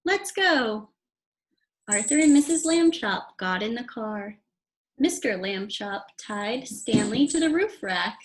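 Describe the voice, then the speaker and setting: A woman reading a children's storybook aloud with deliberate, expressive delivery. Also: on the high side.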